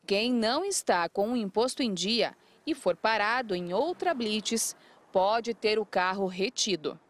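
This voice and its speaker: A woman, reading a scripted news report in a crisp, even way. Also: medium pitch, unhurried.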